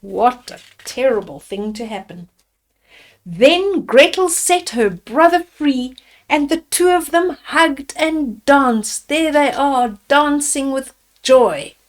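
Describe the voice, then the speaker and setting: A woman storyteller reading a fairy tale aloud to children, deliberately, with simple asides to her young listeners. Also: high voice, animated. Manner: deliberate, addresses children with simple questions and comments.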